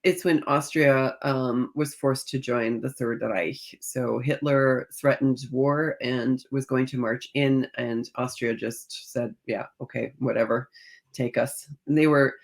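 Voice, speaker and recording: A woman speaking as a guest author in a conversational interview, thinking aloud as she tells stories in an animated manner. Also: very deep voice, a little slow. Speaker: guest author discussing own novels.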